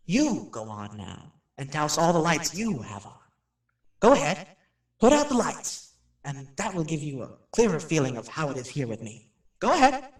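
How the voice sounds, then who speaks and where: A man playing a chatty, nervous radio personality who addresses his listeners in a folksy way in a radio play. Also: fairly high pitch. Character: jumpy, chatty radio showman touring a haunted house. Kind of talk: radio drama acting. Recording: roomy, slightly distant microphone.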